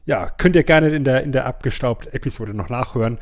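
A man's voice: mid-range voice, a little fast. A man co-hosting a podcast in casual, colloquial chat, repeating words for emphasis. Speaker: podcast co-host.

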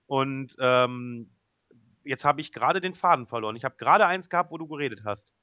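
Bright, forceful man chatting. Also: medium pitch, a little fast, no echo, studio quality. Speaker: podcast guest. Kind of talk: casual nerd chat.

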